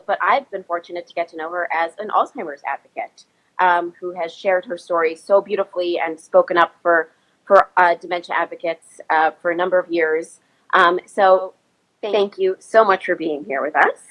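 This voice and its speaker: A woman's voice: on the low side, a bit bright, low-quality recording. A woman in her thirties hosting a casual live-stream interview over a video call, slightly sniffly with a cold and chatty with many ums and restarts. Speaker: host of an Instagram live chat. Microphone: phone or laptop, video call.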